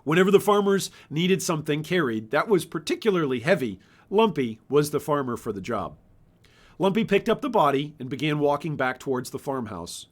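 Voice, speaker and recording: Higher-voiced man reading and presenting a storybook. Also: animated, fairly quick. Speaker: author and channel host. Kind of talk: storybook read-aloud and informal book presentation.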